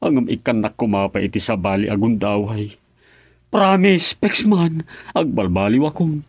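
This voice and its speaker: A man's voice: on the low side, normal speed, dry recording, studio quality, lightly acted. A man playing a pleading, wheedling husband in a radio drama comedy, with an Ilocano accent. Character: pleading husband promising his wife he will reform.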